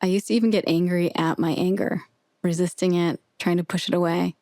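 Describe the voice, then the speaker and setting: A woman narrating a guided meditation with soothing, measured, gentle instruction. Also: on the low side, steady tone, dry recording, clean studio sound.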